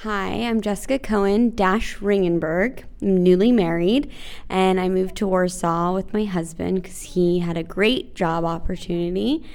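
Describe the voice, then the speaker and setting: A woman's voice: lowish voice, moderate pace, darkish tone. A woman from Texas with an American accent, talking casually as a language student. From Dallas, Texas, USA. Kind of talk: casual talk.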